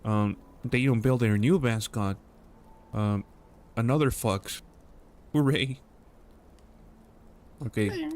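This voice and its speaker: A man's voice: mid-range voice, slow, long pauses, darkish tone. A man hosting a casual livestream chat, rambling and joking with many fillers. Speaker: stream host.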